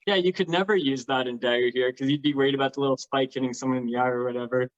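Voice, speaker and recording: A man with a middle-pitched voice explains his hobby in an enthusiastic, casual way. The recording is slightly dull and lo-fi, like an online chat. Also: fairly even intonation, racing. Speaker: guest, LARP participant.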